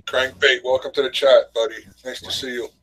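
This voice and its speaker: Bright-voiced middle-aged man greeting chat. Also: mid-range voice, level tone, brisk, rough recording. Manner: easygoing, greets viewers in chat. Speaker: co-host of a live stream. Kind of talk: casual chat.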